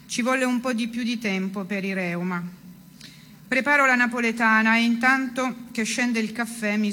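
High-voiced woman reciting, with background noise. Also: sharp tone. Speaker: reader of a literary text. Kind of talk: dramatic literary recitation of first-person prose.

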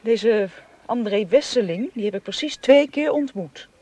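A woman's voice: fairly high pitch, expressive, a little slow, warm tone. An actress playing a polite but proud and forthright young woman in a radio drama. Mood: defiant, indignant. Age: young adult. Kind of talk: Dutch radio drama acting. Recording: faintly noisy radio play recording.

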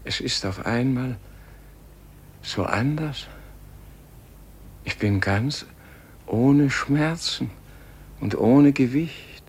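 A slow, frail, weary old man. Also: medium pitch. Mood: wondering. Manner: slow, halting delivery in short broken phrases. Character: frail, weary, bewildered old Gandhi, gentle and searching. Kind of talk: German radio play acting. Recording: noisy old radio play recording.

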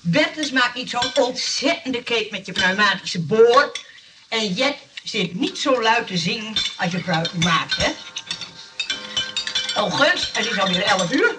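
A woman's voice: a little high, expressive, unhurried, far from the mic, music in the background. An actress playing a kind but bossy nurse who runs a rest home, cheerful and motherly in a radio comedy. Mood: bossy scolding. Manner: cheerfully defensive, motherly scolding. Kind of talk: Dutch radio comedy drama acting.

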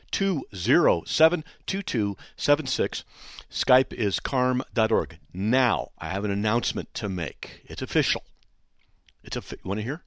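Man, radio host, hoarse scratchy voice, lower pitch, dry studio sound. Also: fairly bright tone, no echo. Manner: chatty and joking, breaking off to talk to people behind the studio window. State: hoarse, voice still shot. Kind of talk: call-in radio talk. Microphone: studio microphone.

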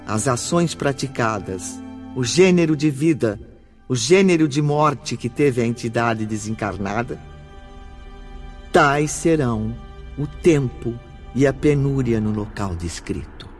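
A man narrating a radio drama in a solemn, measured, deliberate manner. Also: mid-range voice, lively intonation, faint music behind.

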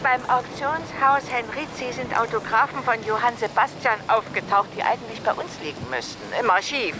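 A woman's voice: a little high, normal speed, noisy background, close to an everyday voice. An actress playing an eager, chatty female museum employee in a German radio drama, getting to her point in a roundabout way. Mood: eager, hesitant.

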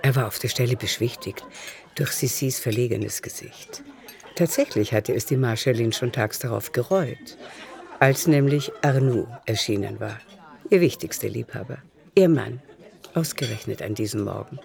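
Mellow, creaky-voiced woman narrator. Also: rumbling low, moderate pace. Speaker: narrator of a radio play. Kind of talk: scripted literary narration. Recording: faint music under narration. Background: faint music.

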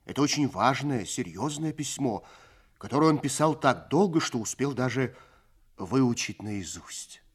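A man narrates a 1970s Soviet radio play with a mid-pitched voice. His delivery is scripted and literary, measured yet expressive, like a storyteller setting out each scene. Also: slightly rough.

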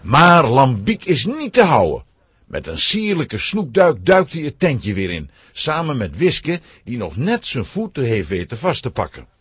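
A man's voice: medium pitch, wildly swooping pitch, a little slow, a bit bright, breathy edge, no echo. A man narrating a scripted children's story.

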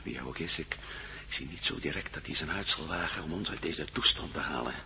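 A wry, worried male prisoner in a radio play. His voice is very low yet strikingly forceful and sharp, conversational and brooding, over a faint hum. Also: brisk, exaggerated voice. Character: jailed American adventurer, wry and worried, defiant. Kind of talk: Dutch radio drama acting.

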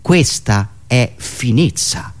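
A man performing a theatrical satirical monologue, ironic, with dramatic pauses and emphatic swings from hushed asides to raised delivery. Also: medium pitch, animated. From Italy. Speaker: writer and performer of a satirical radio monologue show.

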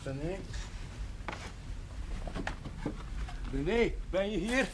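An actor playing an earnest, patient and compassionate village chaplain in a Dutch television period drama. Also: male voice, sits high, animated, moderate pace. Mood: pleading. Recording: faint background noise, old TV soundtrack.

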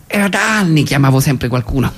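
A woman's voice: a bass voice, expressive, breathy edge, full character voice. Giddy, stammering woman in love, played by an actress. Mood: elated, gushing. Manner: halting, stammering, gushing. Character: giddy, bashful woman in love, phoning from work. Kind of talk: radio theatre comic dialogue.

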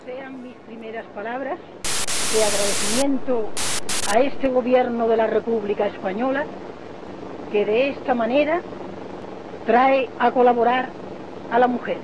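A woman's voice: on the high side, fairly even intonation, measured pace, pauses often. A woman giving a formal, earnest speech with a dignified manner. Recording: noisy, dull old archive recording.

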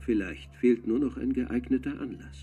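An actor playing an insider calmly confessing a political conspiracy in a radio crime play, measured and sober. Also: male voice, background noise, lightly acted. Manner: measured, sober account, dropping low on key points. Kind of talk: radio drama, confessional narration.

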